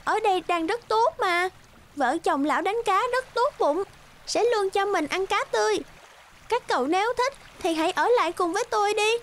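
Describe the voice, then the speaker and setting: A woman's voice: extremely high pitch, expressive, moderate pace, a bit bright, voice pushed up. A woman voicing a carefree, lazy and smug swan in a cartoon fairy tale, breezy and exclaiming. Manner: breezy, self-satisfied, exclaiming.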